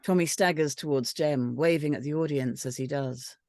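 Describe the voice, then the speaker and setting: A woman's voice: low-pitched, moderate pace, a little mellow, no echo, clean studio sound. A woman reading out screenplay stage directions as scripted narration.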